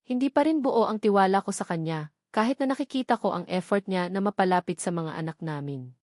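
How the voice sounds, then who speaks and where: A woman's voice: mid-range voice, expressive, brisk, darkish tone, dry recording, studio quality. A woman reading a scripted first-person love story as a dramatic narrator.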